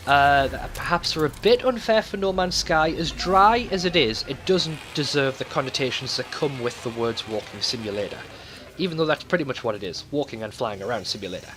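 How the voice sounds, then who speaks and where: A man's voice: medium pitch, lively intonation, brisk, fairly bright tone, a little hiss or hum. A man hosting a let's play with casual, rambling gameplay commentary and loose swearing.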